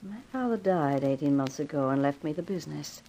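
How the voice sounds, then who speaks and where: An actress playing a cool, brisk young company boss in a 1950s radio drama, formal and businesslike. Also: female voice, low-pitched, animated, dark tone, low-quality recording. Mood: firm, decisive. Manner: businesslike, commanding, crisply formal.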